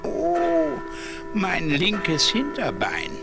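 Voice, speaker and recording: An actor playing a grumbling, wistful old chained dog with a husky voice in a children's fairy-tale audio drama. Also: mid-range voice, wildly swooping pitch, very slow, loud music behind the voice, exaggerated voice. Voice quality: husky, lost his fine voice.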